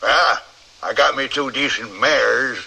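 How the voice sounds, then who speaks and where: An actor playing a wary, crafty old American rancher with a folksy drawl in a Western radio drama. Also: male voice, mid-range voice, slow pace, vocal fry, pushed and bright, breathy edge, exaggerated voice. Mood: folksy, shrewd. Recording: faint noise on old radio recording.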